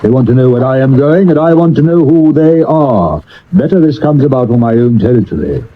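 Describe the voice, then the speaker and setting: An actor with a British accent playing a flamboyant Victorian actor-detective in a 1970s radio drama, declaiming with a husky voice. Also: male voice, mid-range voice, a little fast, natural voice. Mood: grandiose, resolute. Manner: declamatory, theatrical, animated. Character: flamboyant, theatrical Victorian actor-detective and his male associates. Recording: dull old medium-wave radio recording.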